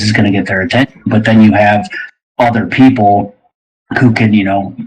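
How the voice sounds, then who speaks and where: A man with a husky voice talking casually and chattily as a game player on a podcast. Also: on the low side, low-quality recording.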